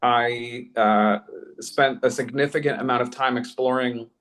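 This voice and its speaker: An American man with a medium-pitched voice talks as an artist reflecting on his work. He is earnest and articulate, choosing slightly lofty words in a conversational panel setting. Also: fairly even intonation, measured pace, fairly bright tone. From Colorado, United States. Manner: earnest, articulate, elevated wording. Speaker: podcast creator and artist.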